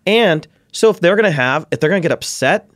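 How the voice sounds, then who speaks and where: A man speaking as a guest scholar in an interview, explaining in an animated way and acting out questions and quotes. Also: on the high side, a little fast, dry recording.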